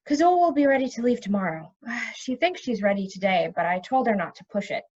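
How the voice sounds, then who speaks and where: A woman voicing a brisk, outspoken but fond princess with a direct manner in a storybook reading. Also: on the high side, expressive, a little mellow, muffled recording. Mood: curious.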